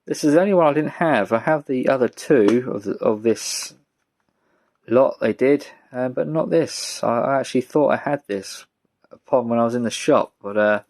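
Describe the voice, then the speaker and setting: A British man giving a casual, rambling show-and-tell as a vlogger, speaking in a halting, low-key way. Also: darkish tone. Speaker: vlogger showing a music collection.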